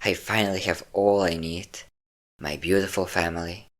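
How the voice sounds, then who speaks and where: A man's voice: sits low, fairly even intonation, normal speed, natural voice. A man playing a possessive, obsessive vampire lover, vain and cruel, in an intimate, theatrical roleplay monologue with lingering words and sighs. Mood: adoring, obsessive.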